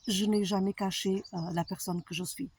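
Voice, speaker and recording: A woman with a foreign accent, a holistic therapist talking to her followers in a slow, halting way with many pauses and fillers. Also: mid-range voice, fairly even intonation, a little mellow, a little hiss or hum. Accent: foreign accent in French. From abroad, not France. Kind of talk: personal monologue to followers.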